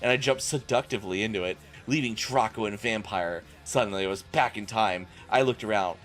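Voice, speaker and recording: A man's voice: lowish voice, brisk, a bit bright. A man doing a comic dramatic reading as a streamer, with exasperated, incredulous asides. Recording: music playing behind the voice. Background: music.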